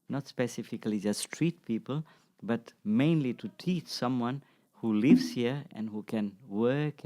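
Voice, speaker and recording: Man, 60s, low register. Interview guest speaking as a teacher, reflective and measured. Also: dark tone. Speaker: guest, acupuncture practitioner and teacher. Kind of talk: unscripted interview answers.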